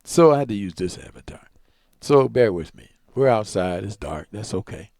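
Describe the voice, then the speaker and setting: Low-voiced man, rambling casual tutorial. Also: lively intonation, normal speed, a little mellow, dry recording, clean studio sound. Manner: rambling, repeats himself. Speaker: video creator giving a tutorial. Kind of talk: casual step-by-step demonstration.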